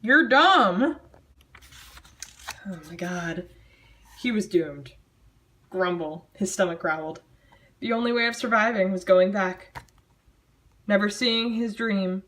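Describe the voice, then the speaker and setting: A woman reads a story aloud at a middling pitch, hamming it up in a mock-dramatic way. Her delivery is theatrical yet casual, with a sardonic, self-mocking edge of commentary on the material. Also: takes it slowly, long pauses, a bit bright, slight background noise. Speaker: amateur storyteller reading own childhood writing.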